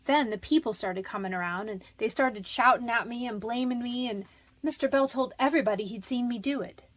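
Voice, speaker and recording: A scared young female convict played by a woman in a radio crime drama, speaking in a fairly high voice. She is defensive and slangy, putting up a tough front with a pleading edge underneath. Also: fairly quick, close to an everyday voice. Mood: straining to remember. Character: frightened, defiant young woman convicted of manslaughter. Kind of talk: radio detective drama acting.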